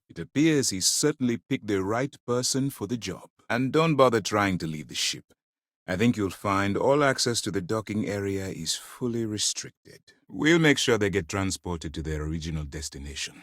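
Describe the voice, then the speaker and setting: Man acting a deep-voiced, stern military commander. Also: no room sound, studio quality, natural voice. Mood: measured, firm. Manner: authoritative, formal, measured delivery. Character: stern, principled male military space-fleet commander. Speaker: video game voice actor. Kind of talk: dramatic game character dialogue.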